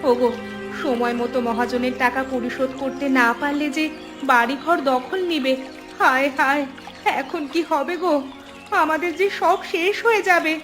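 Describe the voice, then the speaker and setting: A woman's voice: sits high, normal speed, over music, lightly acted. A woman voicing a poor, caring village wife and mother in a cartoon folk tale, with plaintive dramatic delivery. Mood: alarmed.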